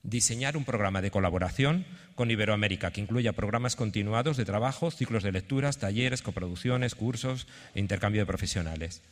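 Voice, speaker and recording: A man from giving a halting conference presentation, partly reading it out. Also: fairly low pitch. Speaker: theatre representative presenting a project. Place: indoor conference venue. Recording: reverberant conference hall.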